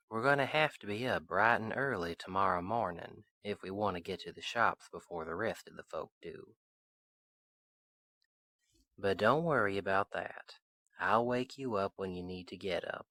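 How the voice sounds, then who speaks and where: A man playing a friendly, protective Old West sheriff in a roleplay audio drama, talking to the listener in folksy, unhurried western phrasing. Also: sits low, pushed and bright, no room sound, exaggerated voice. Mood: gentle, relaxed.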